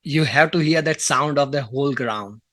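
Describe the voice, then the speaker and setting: A man hosting an interview, replying briefly and agreeably with halting phrasing. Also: mid-range voice, a bit bright, dry recording, clean studio sound. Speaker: podcast host. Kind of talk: casual interview chat.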